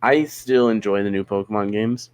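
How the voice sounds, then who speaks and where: Low-voiced rambling young man. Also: normal speed, darkish tone, clean tone, no echo. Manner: rambling, self-deprecating, repeats "yeah, yeah, yeah". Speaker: podcast co-host. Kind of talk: casual podcast chat.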